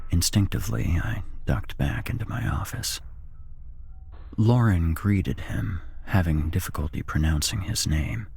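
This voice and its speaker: A man's voice: deep voice, unhurried, creaky, a little mellow, husky. A man narrating a scripted horror story in a whispered ASMR style.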